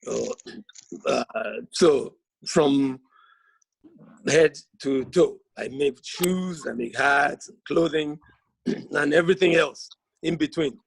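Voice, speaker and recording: A man's voice: on the high side, takes it slowly, creaky, husky, no room sound, low-quality recording. A middle-aged man from Nigeria, a textile artist, introducing himself on a video call with jokes and frequent laughter. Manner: jovial, laughs often, joking.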